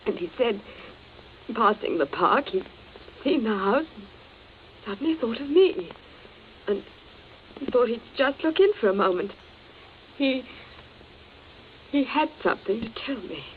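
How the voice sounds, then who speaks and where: A woman's voice: fairly high pitch, expressive, measured pace, pauses often. An actress playing a fragile, distraught grown daughter in a radio drama, faltering and pleading as she answers. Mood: nervous, faltering. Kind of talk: old radio drama melodrama. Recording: tinny, noisy old radio recording.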